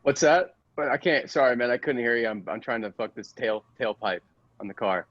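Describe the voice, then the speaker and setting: A man's voice: mid-range voice, fairly quick, close to an everyday voice. A man playing a dazed, slangy slacker dude in improvised comedy, with slightly dull sound. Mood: distracted. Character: dazed, easily amazed slacker dude Frank. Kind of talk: improvised comedy radio play.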